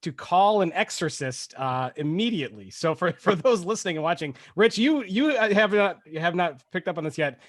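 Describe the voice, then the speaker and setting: A man with a high voice co-hosts a casual podcast, laughing often and restarting his phrases. The sound is dry and close, with no room echo. He talks over a video call. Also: animated, normal speed, few pauses. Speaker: podcast co-host. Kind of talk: casual chat.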